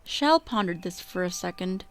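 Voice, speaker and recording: A woman's voice: lowish voice, expressive, moderate pace, fairly bright tone. A woman reading scripted story narration for a radio drama. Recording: music behind the voice.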